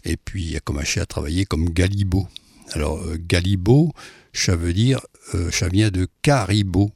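A man giving an informal talk to an audience, halting and chatty, often tagging his phrases with "hein". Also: low-pitched, creaky, a little mellow, slightly rough, no room sound, clean studio sound. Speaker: speaker presenting a dialect poet.

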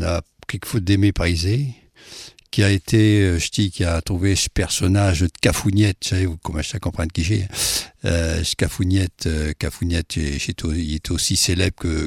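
Deep gravelly man. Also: no echo, clean studio sound. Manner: halting, chatty, tags phrases with "hein". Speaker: speaker presenting a dialect poet. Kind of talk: informal talk to an audience.

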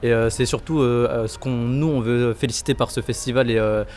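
A man's voice: medium pitch, steady tone, a little fast, a little mellow. A teenage boy on a student jury, answering earnestly and often restarting words. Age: teens. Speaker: student juror. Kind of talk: interview answers.

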